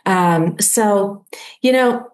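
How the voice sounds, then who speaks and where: A middle-aged woman hosting a podcast interview, talking in a friendly, halting way with many fillers and restarts. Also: mid-range voice. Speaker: podcast host. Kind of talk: casual interview.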